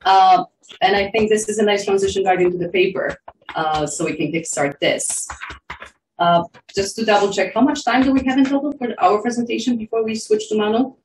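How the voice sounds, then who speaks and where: A woman's voice: mid-range voice, lively intonation, a little fast, darkish tone. A woman researcher informally introducing herself before presenting a paper at an academic workshop. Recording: echoey room, distant mic, faint noise.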